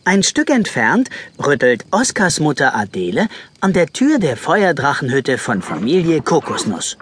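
A man's voice: fairly high pitch, wildly swooping pitch, unhurried, background noise. A man narrating a children's audio story in a suspenseful, dramatic manner. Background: music.

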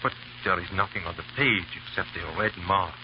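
A man's voice: low-pitched, fairly quick, lightly acted. An actor playing an earnest, dignified condemned nobleman in an old-time radio drama, on a dull, hissy recording. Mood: astonished, grateful. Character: resigned, dignified French nobleman awaiting execution.

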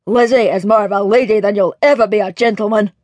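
A woman's voice: mid-range voice, fairly even intonation, quick pace, darkish tone, dry recording, lightly acted. Woman putting on a growling, fiery cook. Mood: angry, scornful. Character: fiery-tempered male Highland cook, gruff and scolding.

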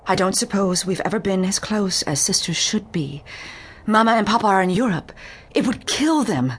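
An actress playing a timid, refined young woman client in a detective audio drama, speaking in a halting, pleading manner. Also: medium pitch, animated, slightly rough. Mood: timid, hesitant.

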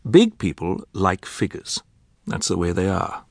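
A man's voice: low voice, very animated, unhurried, gravelly, no room sound, clean studio sound, exaggerated voice. A man playing a reflective pilot who narrates his memories in a radio drama, confiding as a storyteller. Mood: reflective, wry.

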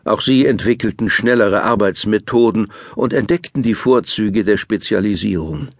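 Measured male children's audiobook narrator. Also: lowish voice, dry recording, clean studio sound. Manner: measured, explanatory.

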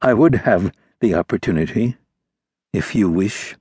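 A man's voice: on the low side, expressive, a little mellow, slightly rough, dry recording, full character voice. A man doing a guarded grey-haired attaché. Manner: slow, careful, formal.

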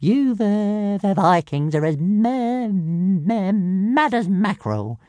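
A man's voice: high-pitched, very animated, slow, a little mellow, no echo, voice pushed up, heavily acted. A man voicing a small, cheeky, sleepy pet dragon who stutters and stumbles over his words in an audiobook. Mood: amused, sleepy.

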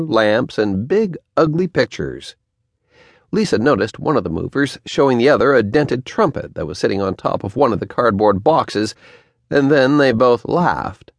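A man narrating a children's story from a script, with expressive, deliberate storyteller phrasing and emphasis. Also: mid-range voice, vocal fry, no room sound, studio quality. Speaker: audiobook narrator.

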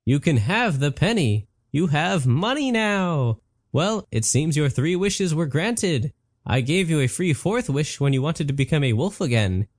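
A man voicing a smug, matter-of-fact male genie who talks slowly and calmly. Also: on the high side, no echo, studio quality, voice pushed up.

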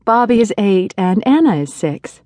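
Man putting on a polite neighbor lady, high and lively, chatty, dry sound. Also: moderate pace, no echo. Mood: friendly. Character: friendly new neighbor, a mother of two.

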